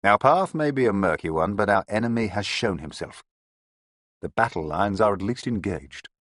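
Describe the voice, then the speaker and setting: A man's voice: on the low side, animated, normal speed, dry recording, studio quality. A man voicing a stern, authoritative British police detective in an audiobook. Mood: commanding. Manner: forceful, authoritative.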